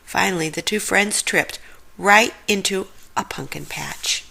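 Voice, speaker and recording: A woman storyteller narrating a children's story in an expressive read-aloud style. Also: medium pitch, a little slow, vocal fry, husky, rough recording.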